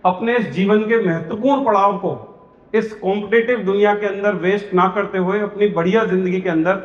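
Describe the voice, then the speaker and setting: Man, earnest promotional speech, higher voice, echoey hall, dull sound. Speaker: coaching institute founder announcing schemes. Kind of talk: speech at a public event. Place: large hall.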